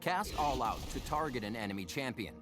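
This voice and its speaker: Male game spotlight narrator over loud music. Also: mid-range voice, animated, a little fast. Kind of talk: scripted explainer narration.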